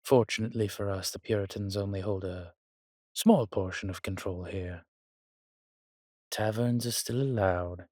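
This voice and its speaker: Man voicing a husky, courtly vampire seducer. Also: on the low side, a little slow, darkish tone, dry recording, studio quality. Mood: reassuring, possessive. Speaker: voice actor in an audio drama. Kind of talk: intimate romantic audio roleplay addressed to the listener.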